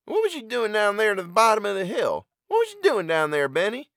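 A man voicing a worried rural farm mother who speaks in rough country dialect. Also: high voice, very animated, fairly quick, a bit bright, no room sound, studio quality, voice pushed up, exaggerated voice. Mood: anxious pleading. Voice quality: rustic dialect, pleading.

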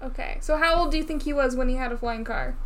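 A woman's voice: sits high, quick pace. A woman in her twenties co-hosting a podcast, chatting casually with plenty of laughing and swearing. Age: 20s. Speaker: podcast co-host.

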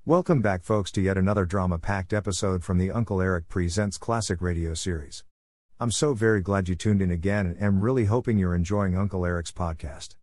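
A deep-voiced man introduces an old radio episode in a friendly, earnest tone. His voice is recorded close and dry, with no room sound at all. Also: fairly quick, a little mellow, dry recording, faint music behind. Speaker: podcast host. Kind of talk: read podcast introduction with sponsor message.

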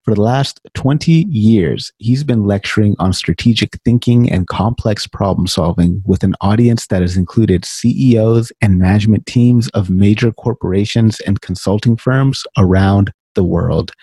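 Podcast host guy, kinda low husky voice, dark tone, friendly questions. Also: normal speed, few pauses, dry recording. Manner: friendly, reads a formal guest introduction. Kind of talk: podcast interview.

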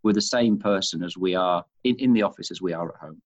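Manchester guy, middle aged, interview on leadership, kinda husky, slightly dull lo-fi sound. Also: low voice, fast. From England. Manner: thoughtful, repeats words while finding his point. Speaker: head of an organization.

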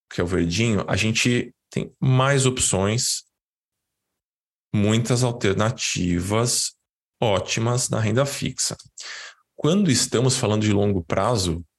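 A man's voice: fairly low pitch, creaky, husky. A man with a Brazilian accent giving an informal, didactic live lesson as a financial educator, repeating words for emphasis in a conversational way. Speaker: financial educator leading an online class.

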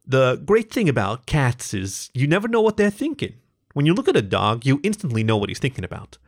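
A man's voice: medium pitch, wildly swooping pitch, brisk. A man voicing a nervous, lovestruck dog comedian from a story, with a self-deprecating, stammering, joking delivery. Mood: performing a joke.

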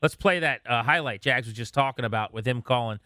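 A man hosting a sports radio interview, talking conversationally and recalling games in an animated way. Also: mid-range voice, fast, heavy background noise.

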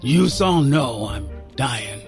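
A man voicing a dying old man, rough and pained, who speaks bluntly and haltingly in a told story. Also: mid-range voice, lively intonation, over music. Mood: blunt, resigned. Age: older. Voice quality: rough, pained, rasping, coughing.

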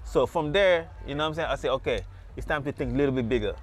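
A man's voice: medium pitch, fast. A man from Senegal, a market booth owner telling his story in a casual street interview, rambling and repeating words. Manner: rambling, repeats words, lots of "you know". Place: outdoors at a beach market booth.

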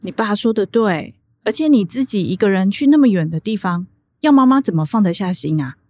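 An actress playing an anxious, doting middle-aged mother with a Taiwanese accent, fretful and expressive in a radio drama. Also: mid-range voice, a little fast, no room sound, close to an everyday voice. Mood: worried, nagging.

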